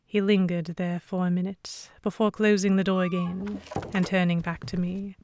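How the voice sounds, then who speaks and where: A woman narrating a scripted first-person audio drama in an expressive, dramatic storytelling manner. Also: lowish voice, moderate pace, slight background noise.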